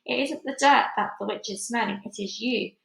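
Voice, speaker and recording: A woman's voice: fairly high pitch, moderate pace, gravelly, fairly bright tone. A woman voicing a stern, knowing old grandmother in a storybook, brusque and instructive. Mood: instructive, relishing. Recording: roomy, echoey home recording.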